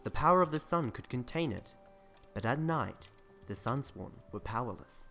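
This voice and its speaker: A man narrating fantasy lore for a video game cutscene in a measured, grave storytelling manner. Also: medium pitch, animated, gravelly, a bit bright, soft background music. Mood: solemn.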